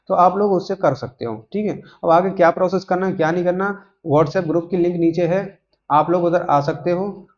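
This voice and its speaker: A man with a slightly high voice talks informally to his online viewers. He rambles through his explanation, repeating little check-in tags, and the recording is dull and lo-fi. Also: darkish tone. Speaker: YouTube host giving job exam updates. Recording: dull lo-fi microphone sound.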